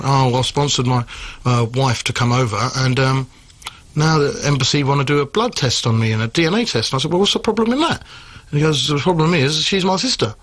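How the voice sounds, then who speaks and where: A man's voice: fairly high pitch, a bit bright, husky. A man giving blunt immigration advice on a radio phone-in, telling stories with animation. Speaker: immigration adviser guest.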